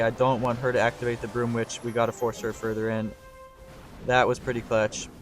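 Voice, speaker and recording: A slightly hoarse young man giving live commentary on a strategy game, talking through his thinking and getting excited on big plays. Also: mid-range voice. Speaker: gaming channel host.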